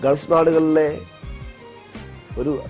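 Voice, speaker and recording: A man with a Kerala accent giving a formal official statement, measured and broken into short phrases. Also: on the high side, little pitch movement, a little mellow, faint music behind.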